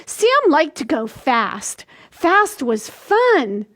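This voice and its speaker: An older woman in her sixties hosting a children's storytime, reading aloud and explaining things simply and deliberately for small children. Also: sits high, sing-song, a bit bright. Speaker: library storytime host. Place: small indoor room.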